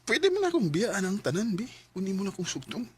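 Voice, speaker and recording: A man's voice: high-pitched, huge pitch swings, fairly quick, darkish tone, husky. A man narrating and playing the lead in an amateur radio drama, with an emotional, heartbroken delivery. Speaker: storyteller and lead player.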